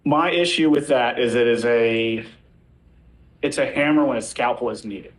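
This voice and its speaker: A man's voice: mid-range voice, moderate pace, rough recording. A man speaking as a defense lawyer in a courtroom, making an insistent but formal, courteous legal argument.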